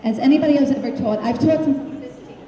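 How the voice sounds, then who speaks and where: High-voiced woman hosting in a booming hall. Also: fast, mellow. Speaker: school director and ceremony host. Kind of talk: ceremony introductions. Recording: very echoey hall.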